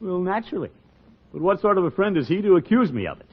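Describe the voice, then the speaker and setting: A dull, old-time radio recording of a pompous, scheming middle-aged uncle. His delivery is blustery and theatrical, with lively swings in pitch and sly chuckles, in 1940s comedy style. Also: fast talker. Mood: grumbling.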